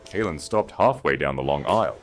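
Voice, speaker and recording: A man's voice: rumbling low, expressive, brisk, music in the background. A man reading dramatic scripted fantasy fiction as an audiobook narrator.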